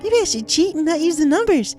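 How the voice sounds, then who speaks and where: A very high, giddy young female pony in a fan fiction reading, childishly cheerful with a murderous menace. The delivery is sing-song and manic, with music playing behind it. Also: very animated, fast talker, darkish tone. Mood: manic glee.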